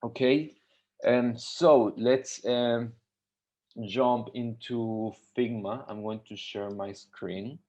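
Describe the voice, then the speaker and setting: A man's voice: medium pitch, fairly even intonation, slow, mellow. A man from Mexico, a UX designer, teaching a live webinar tutorial with many fillers and restarts. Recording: dull lo-fi webinar audio.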